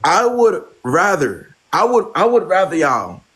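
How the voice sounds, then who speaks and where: A man's voice: high-pitched, big pitch swings, a little slow, fairly bright tone. Blunt, profane man lecturing his viewers. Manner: blunt, repetitive, preachy and profane. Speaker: live stream host giving dating advice. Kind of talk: casual live stream advice.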